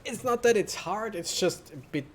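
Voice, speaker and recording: A man's voice: high-pitched, normal speed, a little hiss or hum. A man giving casual, rambling let's play commentary with wry asides. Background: game music.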